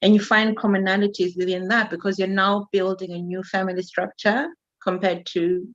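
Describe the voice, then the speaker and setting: A woman's voice: mid-range voice, flat delivery, muffled recording. A woman from Zimbabwe, a relationship coach, chatting on a panel and often affirming the others. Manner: conversational, affirming, quick back-channels. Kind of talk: panel discussion.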